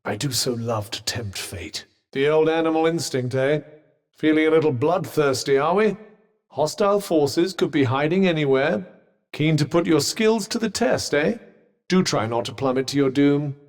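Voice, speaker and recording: A man's voice: fairly high pitch, lively intonation, moderate pace, in a large hall. A man voicing a sardonic, witty male talking bracelet in a video game, with dry, teasing quips.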